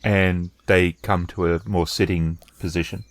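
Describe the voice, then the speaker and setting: A man narrating a tabletop game as game master, improvising with halting, hesitant phrasing. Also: deep voice, steady tone.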